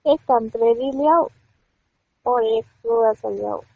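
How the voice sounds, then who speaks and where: High-voiced woman on a tinny phone line. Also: slow, vocal fry, no echo.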